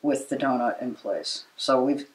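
An American woman in her sixties explaining things in a measured way during a conversational interview as a local preservation advocate. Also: rumbling low. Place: living room with books, indoors. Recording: roomy, echoey living room.

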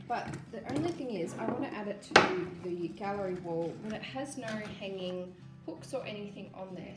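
Hoarse woman in an echoey room. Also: mid-range voice. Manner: chatty, explains steps as she works. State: hoarse from a cold. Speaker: DIY vlogger. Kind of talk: casual home DIY vlog. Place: small home rooms, nursery. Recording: echoey room with guitar music behind.

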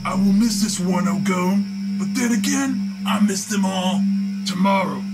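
A suave, cruel crime boss, played by a man, in a comic-book drama. His voice sits fairly high, with formal, measured, ominous delivery over very loud music. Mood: coldly ominous.

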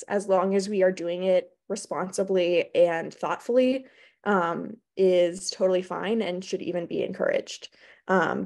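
A woman's voice: mid-range voice, vocal fry, a little mellow. Young American woman presenting informally. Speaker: academic librarian presenting at a conference. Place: small indoor room on a video call.

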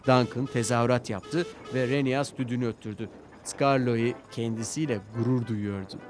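A man's voice: medium pitch, fairly even intonation, moderate pace, a little mellow. Male children's story narrator over music.

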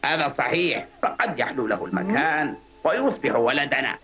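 A man's voice: medium pitch, sing-song, normal speed, bright, forceful tone. A man voicing a stern but fair insect father, grave and admonishing, in a dubbed cartoon. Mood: hopeful. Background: music.

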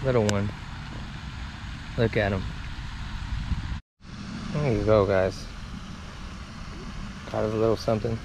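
A man giving casual running commentary to viewers while fishing at a park pond, getting excited over each catch. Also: lowish voice, takes it slowly, long pauses. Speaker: fishing vlogger. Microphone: head-mounted action camera. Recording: outdoor camera audio with noise.

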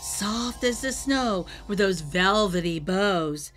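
A middle-aged woman hosting a children's read-aloud, telling a rhyming storybook in an animated, sing-song way over music. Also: mid-range voice, takes it slowly. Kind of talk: expressive rhyming storybook narration. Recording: music behind the voice.

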